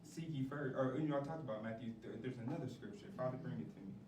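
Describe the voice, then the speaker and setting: A man's voice: medium pitch, fast, distant mic. A man speaking earnestly and reflectively in a preacherly way, quoting scripture during an interview as a production manager and acting coach. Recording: roomy echo, off-mic, clear background noise.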